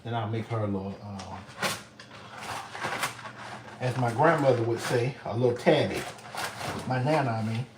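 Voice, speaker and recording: A man's voice: medium pitch, moderate pace, long pauses. Middle-aged man, casual cooking chatter, heard from across the kitchen, echoey room, household noise behind. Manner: chatty, talks to viewers and pets while working. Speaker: home cook vlogger. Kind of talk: casual cooking vlog chat. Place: home kitchen. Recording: echoey room, distant mic, background noise.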